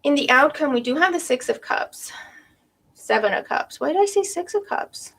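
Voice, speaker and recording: A woman's voice: high voice, animated, normal speed, gravelly, fairly bright tone. A woman giving a live tarot reading to viewers, losing her voice with a cold, thinking aloud and often checking in with "right?". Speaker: tarot reader.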